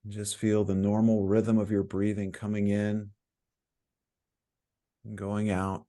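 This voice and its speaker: A man with a low, mellow voice leads a guided meditation. He speaks very slowly and calmly, leaving frequent pauses between short phrases. The delivery is soothing and instructional, like a teacher settling listeners into stillness.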